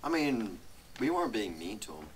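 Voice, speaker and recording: A man's voice: on the low side, sing-song, moderate pace. Young man joking, distant mic. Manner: joking, rambling. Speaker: video co-host. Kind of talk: casual banter, reading notes aloud. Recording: noisy, distant microphone with clicks.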